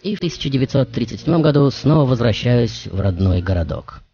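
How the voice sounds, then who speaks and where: A man playing a passionate, self-assured tango musician who tells his life story in dramatic, deliberate phrases. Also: mid-range voice, lively intonation, mellow, husky. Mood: reminiscing. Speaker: radio show host. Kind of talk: theatrical first-person storytelling as a famous musician. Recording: dull radio recording with music.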